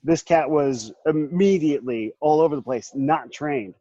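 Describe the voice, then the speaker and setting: Rambling man in his thirties, slightly high voice. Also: moderate pace, mellow, no echo, highs cut off. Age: 30s. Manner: rambling, stumbling restarts, laughs often. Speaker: podcast host. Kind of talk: casual group chat.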